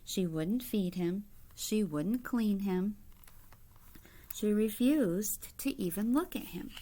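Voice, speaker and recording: A woman with a mid-pitched voice reads a children's picture book aloud at a slow pace. She sounds like a teacher, stepping out of the text to explain things and to ask her young listeners simple questions. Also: lively intonation, mellow, a little hiss or hum.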